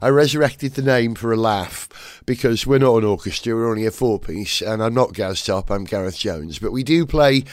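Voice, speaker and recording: A man hosting a podcast, his voice gravelly and husky from a weekend of singing, chatting in an animated, storytelling way. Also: medium pitch, dry recording, clean studio sound. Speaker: podcast host. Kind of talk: casual podcast chat.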